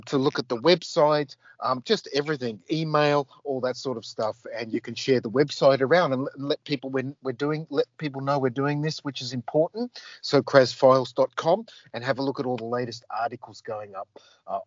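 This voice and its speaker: A man hosting a podcast in a rambling, casual way, full of fillers and restarts. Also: mid-range voice. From Australia. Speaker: podcast host. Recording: dull lo-fi dry sound.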